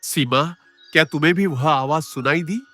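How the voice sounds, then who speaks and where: A man's voice: on the high side, moderate pace, music in the background, close to an everyday voice. A man playing an earnest, uneasy village farmer and concerned neighbour in a dramatized moral story.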